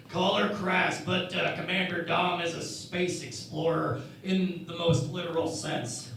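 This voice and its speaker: A man's voice: on the high side, steady tone, takes it slowly, vocal fry, a bit bright, slight background noise, exaggerated voice. A man playing a rambling, scatterbrained engineering lieutenant who hunts for words in a sci-fi parody radio play. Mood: amused, scatterbrained. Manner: hesitant, scatterbrained, word-searching. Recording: very echoey, distant mic.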